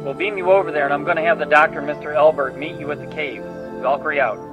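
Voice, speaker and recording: An actor playing a brisk, dry, commanding starship captain in a sci-fi audio drama. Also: male voice, on the high side, steady tone, close to an everyday voice. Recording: dull, band-limited voice under music.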